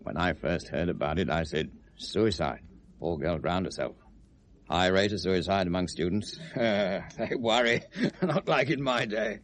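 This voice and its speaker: An actor in a 1970s British radio drama playing a genial, chatty older shopkeeper, hearty and clipped, with an English accent. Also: male voice, deep voice, expressive, measured pace. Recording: faintly noisy vintage radio recording.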